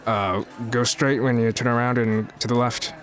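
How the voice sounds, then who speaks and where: Smooth, boastful rich mogul, played by a man. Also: medium pitch, steady tone, normal speed, lightly acted. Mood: grandiose. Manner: smooth-talking, self-important. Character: boastful rich showbiz mogul courting a young woman. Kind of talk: audio drama acting.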